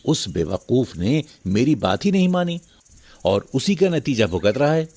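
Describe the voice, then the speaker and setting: A man playing a callous, greedy rich farmer, gruff and dismissive, with a husky voice, in a moral story cartoon. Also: medium pitch, big pitch swings, a little slow, darkish tone. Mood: scornful.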